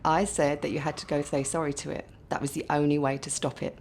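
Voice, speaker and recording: A woman's voice: deep voice, level tone, quick pace. A woman playing a loving, worried mother of a troubled son in a radio drama. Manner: gentle reminiscing, later panicked. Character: worried middle-aged mother, loving but frantic.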